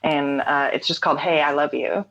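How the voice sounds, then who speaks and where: A woman talking casually as an interview guest, relaxed, with frequent fillers and easy laughter. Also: sits low, normal speed, creaky, highs cut off. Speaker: interview guest, writer and songwriter.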